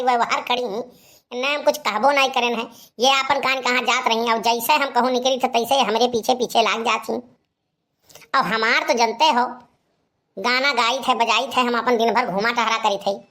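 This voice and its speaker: Woman doing cartoon village boy, cheeky, high pitched, awadhi accent, always protesting. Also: fairly bright tone, lightly acted. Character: cheeky, carefree young village man who loves singing. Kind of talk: cartoon comedy dialogue.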